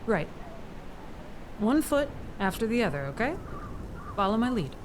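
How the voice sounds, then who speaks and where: A woman's voice: a little high, very animated, a little slow. A woman playing a firm, caring mountain guide in a family audio drama, direct and coaching. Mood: encouraging. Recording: clear background noise, outdoor ambience.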